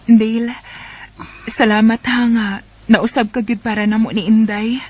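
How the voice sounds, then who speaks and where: A woman acting a devoted, gentle young wife and mother with a Bisaya Filipino accent in a radio drama. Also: on the high side, little pitch movement, normal speed, slight background noise, close to an everyday voice. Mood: tender, grateful.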